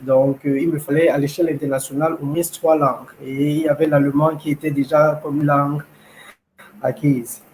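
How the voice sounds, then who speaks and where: A young man from Cameroon, a language school founder, telling his story in an interview, measured and hesitant with many fillers. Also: on the high side, level tone, darkish tone, slight background noise, rough recording. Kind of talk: interview, personal account.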